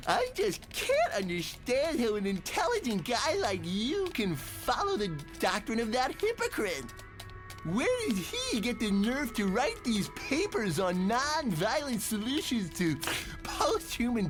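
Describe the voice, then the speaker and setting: A woman playing a poised, probing skeptic in a motion comic, deliberate and insinuating. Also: a little high. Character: poised, insinuating, doubting female penpal. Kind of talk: motion comic character acting.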